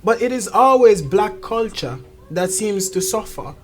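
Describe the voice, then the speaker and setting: A woman's voice: medium pitch, expressive, unhurried, a little mellow. An outspoken woman talking frankly and emphatically in a casual, opinionated conversation. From Jamaica. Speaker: talk show host.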